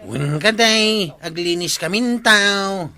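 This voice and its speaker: An actor playing a complaining village tricycle driver in a radio comedy drama, with an Ilocano accent. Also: male voice, sits high, slow, pushed and bright, full character voice. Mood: grudging.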